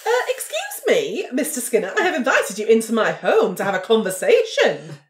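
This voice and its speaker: A woman puts on a sly, well-mannered lady investigator for a tabletop role-play. Her delivery is polite and arch, with swooping, animated pitch and a feigned innocence. The room rings around her. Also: normal speed. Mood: arch, prying. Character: genteel, sly female amateur investigator and newspaper writer. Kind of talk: improvised role-play dialogue. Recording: roomy, echoing room sound.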